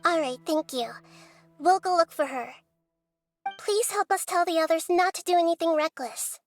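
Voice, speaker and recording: A woman's voice: very high voice, normal speed, faint music behind. A woman voicing a small, childlike female game companion, perky and eager to help, given to exclaiming. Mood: polite, determined. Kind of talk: video game voice acting.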